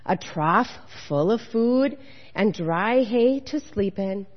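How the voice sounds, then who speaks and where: Female reader as a gentle, sheltering farm cow for a children's fable, unhurried, in a boomy hall. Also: expressive. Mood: contented. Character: kindly, sheltering barn cow in a Christmas fable. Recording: dull, echoey hall recording.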